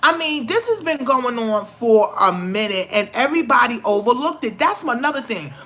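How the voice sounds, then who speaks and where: Female vlogger, middle-aged. Somewhat high, sharp bright tone; chatty, opinionated, leans hard on repeated phrases. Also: sing-song, moderate pace. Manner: conversational, emphatic, repeats phrases for stress. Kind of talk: casual opinionated commentary.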